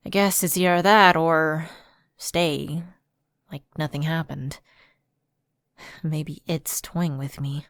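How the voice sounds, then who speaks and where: A woman's voice: sits low, steady tone, slow, pauses often, dry recording, studio quality. A woman playing a personal assistant who is secretly a fallen angel, evasive and coaxing, in a conversational ASMR roleplay. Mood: soft, regretful.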